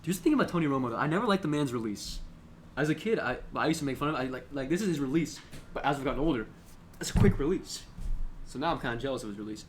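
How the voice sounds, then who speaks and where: A man chats as a sports podcast co-host in loose, slangy banter, joking and mimicking the people he talks about. His voice sits at a middling pitch. There is noticeable noise in the background. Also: animated, very fast. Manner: slangy, jokey, mimics players and coaches.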